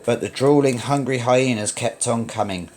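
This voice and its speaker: Casting: male storybook narrator, mid-pitched, dramatic and expressive read-along delivery for children. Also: moderate pace, slight background noise.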